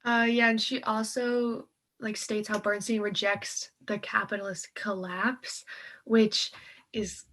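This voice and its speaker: A woman's voice: mid-range voice, little pitch movement, slow, fairly bright tone. A woman co-hosting a casual book discussion, speaking in an informal, colloquial way. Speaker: co-host of a political book read-along. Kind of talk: casual chat about a book.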